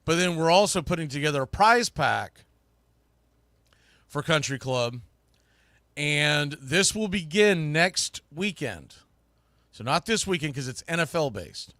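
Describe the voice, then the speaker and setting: A man's voice: a little high, expressive, measured pace, long pauses, a bit bright, no echo. A middle-aged man hosting a sports talk show, chatting casually and informally in a rambling, mildly salty way. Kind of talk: casual, rambling live podcast chat.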